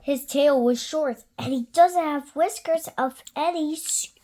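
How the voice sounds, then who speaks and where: A woman reading a children's picture book aloud expressively, with deliberate, rhythmic phrasing. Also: high-pitched, mellow. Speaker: children's story reader.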